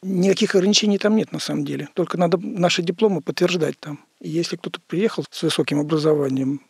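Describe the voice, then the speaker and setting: A male interview guest who jumps in quickly to add his point, talking fast in a husky voice, slightly higher than average. The sound is dry and close. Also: no echo.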